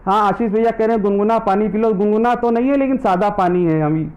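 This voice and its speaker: High-voiced man reciting poetry live. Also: fast, a little mellow, muffled recording. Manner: repeats each verse line, chants devotional verses; greets viewers by name between poems. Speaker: poet; government teacher.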